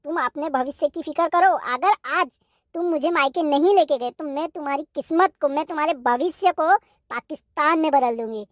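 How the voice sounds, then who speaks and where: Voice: high-pitched, normal speed, a bit bright, no echo, studio quality. A performer voicing a hot-tempered young wife in a cartoon comedy, scolding and sarcastic. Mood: threatening.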